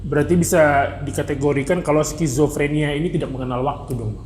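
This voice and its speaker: A man's voice: on the high side, steady tone, fairly quick, darkish tone, echoey room, slight background noise. A man hosting an interview in a conversational way, asking questions. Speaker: interview host.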